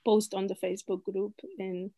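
A woman's voice: medium pitch, flat delivery, normal speed, mellow. A young woman from Mexico chatting casually and a little hesitantly on a group video call. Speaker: group participant, psychology student. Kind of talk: casual chat. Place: indoors at home, video call.

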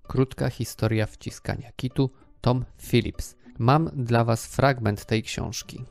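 A man's voice: medium pitch, fairly even intonation, takes it slowly, creaky, faint music behind. A man in his thirties hosting a podcast, talking through book reviews in a semi-scripted way. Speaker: podcast host.

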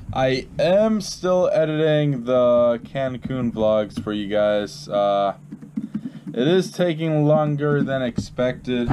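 A man's voice: mid-range voice, background noise. Young man rambling in a vlog. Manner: rambling, many fillers and restarts. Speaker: vlogger. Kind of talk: casual vlog talk to camera. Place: small bedroom, then driving a car. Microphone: action camera held close.

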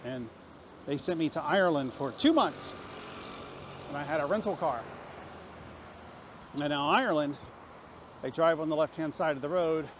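A man's voice: a little high, moderate pace, long pauses. A man talking casually to a camera as a travel vlogger walking on a busy street, rambling in a self-mocking way. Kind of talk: casual walk-and-talk narration to camera. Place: busy city street, outdoors. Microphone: handheld camera while walking. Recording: clear street and traffic noise.

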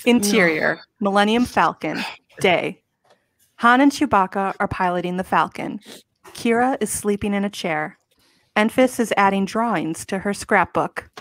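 A woman's voice: mid-range voice, unhurried, fairly bright tone, no room sound. A woman in her thirties reading stage directions as scripted narration for a live table read. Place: home room, indoors, on a video call.

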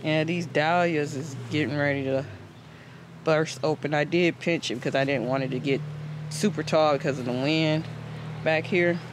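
A man's voice: fairly high pitch, steady tone, normal speed. A man giving a casual, rambling, conversational garden tour as host, recorded outdoors on a handheld camera. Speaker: host of a home gardening channel. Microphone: handheld camera microphone. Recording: outdoor ambient noise on camera mic.

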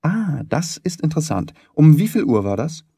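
A man's voice: fairly high pitch, sing-song, moderate pace, creaky, dark tone, slightly rough, no room sound, studio quality, voice pushed up, heavily acted. A man voicing a shrewd, self-assured detective who speaks precisely and courteously in an audiobook. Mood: probing. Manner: precise, probing, didactic. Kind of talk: audiobook character dialogue.

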